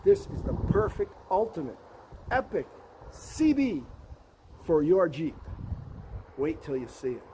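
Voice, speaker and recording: A man with a high-pitched voice presents a hands-on how-to vlog. He sounds folksy and enthusiastic, chatting casually as he works. The recording is outdoors and windy, with noticeable noise and clicks. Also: very animated, unhurried, long pauses. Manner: enthusiastic, folksy, talking while working. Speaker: host of a DIY Jeep project channel. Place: outdoors beside a vehicle, windy.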